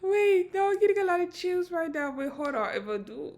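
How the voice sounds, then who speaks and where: A woman's voice: extremely high pitch, sing-song, fairly quick, darkish tone. A woman co-hosting a casual reaction chat, laid-back and slangy, often checking in with "you know what I mean". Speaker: co-host.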